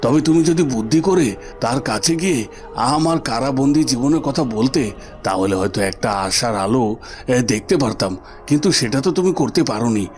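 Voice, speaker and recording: A man's voice: medium pitch, lively intonation, fairly quick, darkish tone, natural voice. A man voicing a defeated, scheming army commander and imprisoned father in a cartoon, grave and regretful. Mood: resigned. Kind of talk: melodramatic cartoon voice acting. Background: music.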